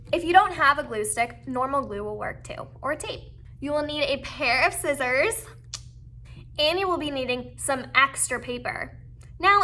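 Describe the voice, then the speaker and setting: A woman with a bright, somewhat high voice presents a how-to lesson for children. She talks casually and encouragingly, like a teacher walking young listeners through each step of a craft. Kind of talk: friendly instructional how-to for kids.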